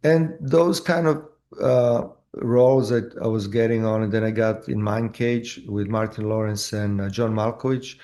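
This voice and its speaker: A man with a Croatian accent, an actor telling his story in a casual interview, halting with many fillers and restarts. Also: on the low side, fairly even intonation, warm tone. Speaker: actor guest.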